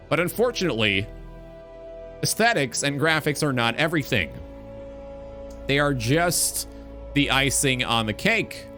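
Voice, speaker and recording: Guy doing a gaming talk show, bright forceful voice, emphatic n deliberate, kinda flowery wording, faint music behind. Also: mid-range voice. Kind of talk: opinionated gaming talk commentary.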